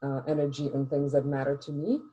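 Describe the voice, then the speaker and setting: Low, dark-voiced woman. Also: level tone, fairly quick. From Czech Republic. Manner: hesitant, apologetic, many ums. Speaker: call participant, corporate employee. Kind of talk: casual sharing on a call. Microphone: computer audio, no camera.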